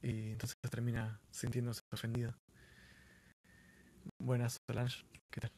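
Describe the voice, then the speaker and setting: An Argentine man hosts a live stream in a casual, earnest way. His voice has a middle pitch. He speaks informally and leans on repeated words to drive points home. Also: monotone, a little slow, noisy background. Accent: Argentine Spanish.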